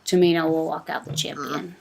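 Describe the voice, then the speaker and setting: A woman's voice: deep voice, steady tone, brisk, gravelly, background noise. Casual, opinionated woman podcast panelist.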